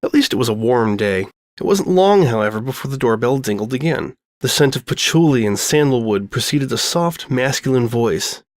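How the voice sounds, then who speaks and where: A man reading a scripted story aloud as an expressive storyteller. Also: medium pitch, normal speed, no echo.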